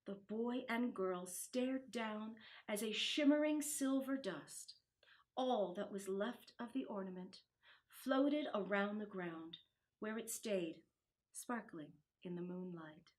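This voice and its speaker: A woman storyteller reading a children's storybook expressively, with dramatic, deliberate phrasing that dips into hushed tones. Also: mid-range voice.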